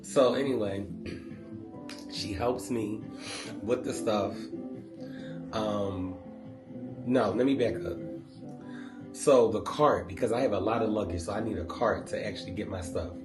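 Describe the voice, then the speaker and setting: A man vlogging, telling a casual travel story in an animated, rambling way full of fillers. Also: fairly low pitch, measured pace, long pauses. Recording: echoey room with music behind.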